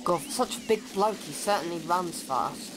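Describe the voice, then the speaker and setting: A woman's voice: lowish voice, lively intonation, moderate pace, over loud music, poor audio. A young woman giving casual let's play commentary as a co-commentator. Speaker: gaming co-commentator.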